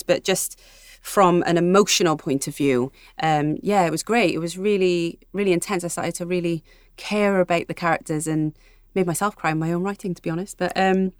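A woman's voice: fairly low pitch, fairly even intonation, a little fast, no echo, studio quality. A woman chatting casually in an interview about her own creative work.

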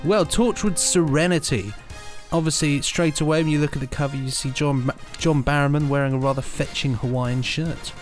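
A man's voice: a little high, fairly even intonation, a little fast, faint music behind. A man hosting a podcast, chatty and playful as he presents news and reads out blurbs. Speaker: podcast host.

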